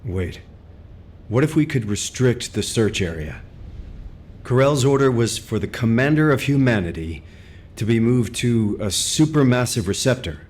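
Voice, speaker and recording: A man's voice: mid-range voice, close to an everyday voice. A man voicing an authoritative, caring commander who speaks in a composed, decisive way. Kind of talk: game cutscene dialogue.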